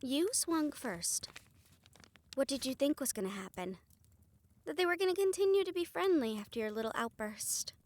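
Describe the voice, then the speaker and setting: Woman acting a high-voiced, defiant young fantasy heroine. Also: very animated, normal speed, slight background noise. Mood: indignant. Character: defiant, determined young woman planning a stealth rescue. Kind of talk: fantasy audio roleplay acting.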